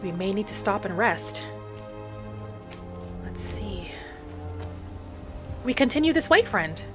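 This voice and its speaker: Casting: woman as gentle wood elf wanderer for fantasy game roleplay; mid-pitched, measured, reflective; clear music bed. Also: sing-song. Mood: thoughtful, practical. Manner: musing aloud, measured, speaking in short reflective phrases. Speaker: roleplay gamer narrating in character. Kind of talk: in-character game roleplay monologue. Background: background music.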